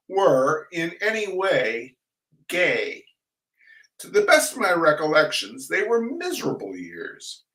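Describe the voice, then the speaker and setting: An older man hosting a reading of humorous essays, chatting casually and reading aloud in a wry, theatrical, halting manner. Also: a little high, a bit bright. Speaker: host of a weekly humor reading. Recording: roomy echo, distant microphone.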